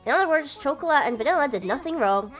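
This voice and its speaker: A woman's voice: sits high, lively intonation, fast, soft background music. A woman reading a visual novel aloud, voicing a formal, doting young little sister who speaks politely. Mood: prim, doting.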